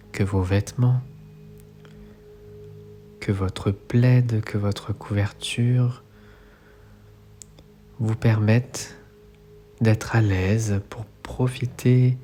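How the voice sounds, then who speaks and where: Slow, low-voiced man guiding meditation. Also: fairly even intonation. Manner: soothing, instructional, with measured phrasing. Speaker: guided meditation instructor. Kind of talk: guided relaxation meditation, yoga nidra. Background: soft music.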